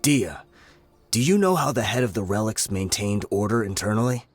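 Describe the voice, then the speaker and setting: An actor playing a weary older male gang member in a game, explaining calmly, with faint music behind. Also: on the low side, moderate pace, breathy edge. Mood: solemn. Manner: calm, explanatory.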